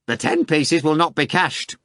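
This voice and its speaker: A man's voice: fairly high pitch, measured pace, fairly bright tone, dry recording, studio quality, pitched above the performer's own voice. Man doing a cracked, rotten-voiced mocking demon. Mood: gloating.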